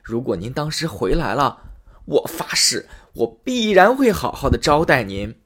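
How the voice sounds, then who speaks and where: A man's voice: medium pitch, very animated, a little slow, a bit bright, husky, full character voice. A man voicing a greedy rich man who frets and wheedles in a storybook tale. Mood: wheedling. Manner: wheedling, fretful.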